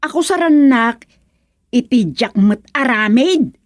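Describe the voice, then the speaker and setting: An actress playing an excitable, gossipy village woman in an Ilocano radio comedy, whining and exclaiming as she complains. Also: a little high, takes it slowly, no echo, clean studio sound. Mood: aggrieved. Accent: Filipino, Ilocano.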